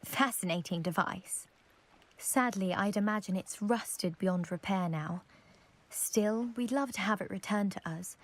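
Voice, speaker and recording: A woman's voice: mid-range voice, a little slow, natural voice. A woman playing a proud, eager young lady from a scholarly family in a video game, with a posh British accent and precise, self-assured delivery. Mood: eager, informative. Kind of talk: video game character acting.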